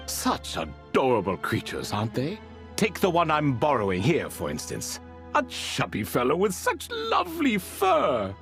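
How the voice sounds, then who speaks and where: An ancient, courtly spirit living in a cat. He is eloquent, old-fashioned and quick to take offence, with a wildly expressive mid-range voice. It is game dialogue over soft music. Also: mid-range voice. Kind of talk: English video game voice acting. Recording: background music behind the voice.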